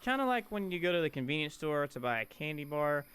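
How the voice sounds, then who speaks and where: A man's voice: fairly high pitch, very animated, quick pace, a bit bright, clean tone. A man voicing a chatty, sarcastic young woman who narrates her story in a visual novel. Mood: wry.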